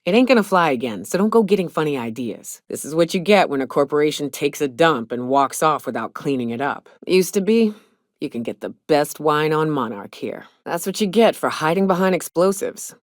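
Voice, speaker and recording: An actress playing a blunt, cynical frontier huntress and guide in a video game, with a wry, plainspoken, folksy way of talking. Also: female voice, sits low, lively intonation, brisk, no room sound, clean studio sound. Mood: wry, weary. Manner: wry, plainspoken, swearing, folksy rustic phrasing. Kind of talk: video game character dialogue.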